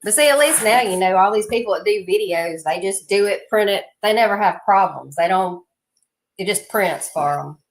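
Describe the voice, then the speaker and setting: A woman's voice: mid-range voice, lively intonation, moderate pace, low-quality recording. A woman with a Southern accent, chatting casually and laughing on a livestream while she sets up a printer. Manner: chatty, laughs often, folksy talk. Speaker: livestream co-host.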